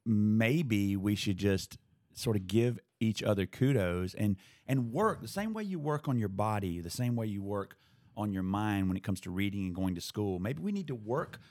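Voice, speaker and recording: A man's voice: on the low side, animated, moderate pace, a little mellow. A man hosting a casual podcast conversation, thoughtful and steering the talk with questions. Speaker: podcast host.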